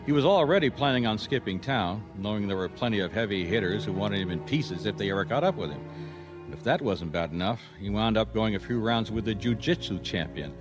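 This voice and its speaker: A man playing a wry, persistent private detective in a radio mystery, talking in measured, dry wisecracks. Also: on the low side, lively intonation, music in the background, lightly acted. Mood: matter-of-fact, wry.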